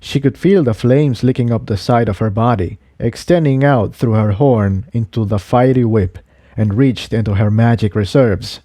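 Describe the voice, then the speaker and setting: A man narrates an adventure story as a scripted reading. His voice is mellow and relaxed, and the recording is close and dry. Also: mid-range voice, moderate pace, no room sound. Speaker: audiobook reader of fan fiction.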